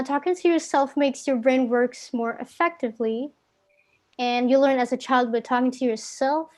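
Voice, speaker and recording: A young woman from Indonesia with an Indonesian accent, giving casual tips in a live online English class, with many fillers and restarts. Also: high-pitched. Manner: casual, filler-heavy, self-correcting. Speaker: guest English speaking tutor.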